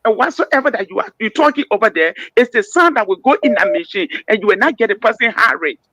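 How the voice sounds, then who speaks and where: A woman's voice: a little high, expressive, fast talker, bright, forceful tone, no echo. A woman with a Liberian accent calling in to a radio talk show, speaking emphatically and often stammering and repeating words. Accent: Liberian English. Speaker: caller.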